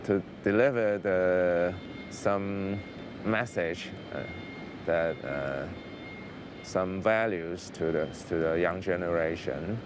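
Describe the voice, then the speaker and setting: A male teacher with a Hong Kong accent, speaking haltingly in an interview, full of fillers and repeated words. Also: low voice, background noise. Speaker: liberal studies teacher.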